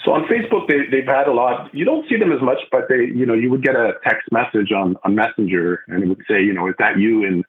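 A man's voice: medium pitch, fast, few pauses. Man on a phone-like line. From Montreal, Canada. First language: French. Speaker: guest expert, retired police officer. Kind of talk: conversational interview answers.

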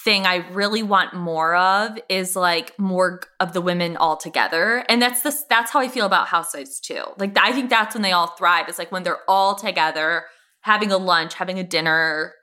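A woman's voice: fairly low pitch, moderate pace, bright, forceful tone. A woman co-hosting a podcast, bantering casually about television in an emphatic, slangy, gushing way. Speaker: podcast co-host.

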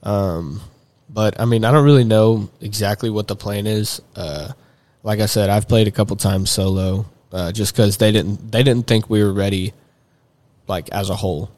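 A young man with a drawl talks loosely and at length, leaning on fillers and restarting his sentences. His voice sits a little low, and it is recorded close and dry with no room sound. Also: fairly even intonation, normal speed, darkish tone, dry recording. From Texas. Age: young adult. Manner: rambling, many fillers and restarts. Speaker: guest. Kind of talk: casual chat.

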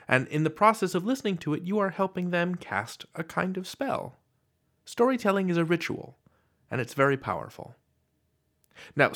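A man hosting a podcast, reading a scripted introduction in an earnest way with theatrical emphasis. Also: fairly high pitch. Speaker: podcast host.

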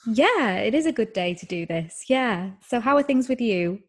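A woman's voice: on the high side, lively intonation, normal speed. A woman hosting a podcast interview, friendly and hesitant, using many fillers as she asks her questions. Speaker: podcast host.

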